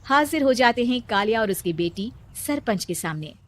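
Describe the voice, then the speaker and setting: A woman narrating a cartoon storybook in a plain, even storytelling way. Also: fairly high pitch, moderate pace, no room sound.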